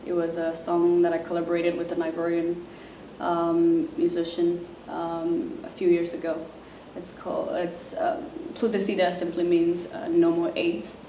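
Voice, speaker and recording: A woman from Zimbabwe, introducing herself as a musician in a soft, halting way, with many fillers. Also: fairly low pitch, monotone. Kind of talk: casual self-introduction to camera. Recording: roomy, distant mic, faint noise.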